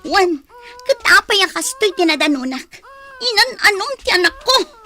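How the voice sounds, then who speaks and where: A woman's voice: extremely high pitch, sing-song, moderate pace, pushed and bright, full character voice. A woman playing a reproachful, hurt young wife and mother in an emotional audio drama. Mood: tearful, angry.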